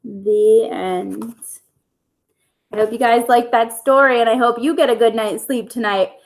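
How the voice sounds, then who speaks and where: A woman storyteller reading a picture book aloud to young children in simple, deliberate phrases. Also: on the high side, fairly even intonation, gravelly.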